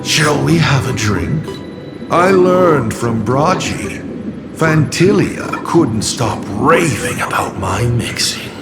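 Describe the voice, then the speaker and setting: Smug villain, swooping drawl. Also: male voice, mid-range voice, animated, slow pace. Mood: mocking, playful. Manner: theatrical, drawling, taunting. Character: smug, sardonic male villain, mockingly urbane. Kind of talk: dramatic video game voice acting. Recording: loud music under the voice. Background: loud background music.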